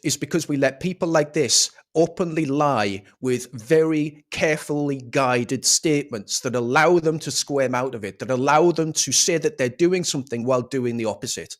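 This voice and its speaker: A man's voice: mid-range voice, moderate pace, hardly any pauses. A man giving a scornful, swearing rant of political commentary.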